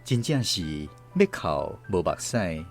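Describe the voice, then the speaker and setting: Reminiscing daughter narrator, Taiwanese Hokkien, animated intonation, radio drama storytelling, soft music underneath. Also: female voice, rumbling low, slow, exaggerated voice. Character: daughter recalling her family's past. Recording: music behind.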